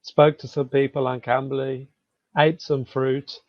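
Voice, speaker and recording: A man from England with a Birmingham accent and a deep voice, chatting casually as a conversation tutor. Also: flat delivery, measured pace, dry recording. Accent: Birmingham English. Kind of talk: casual chat. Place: online video call. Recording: dull lo-fi call audio.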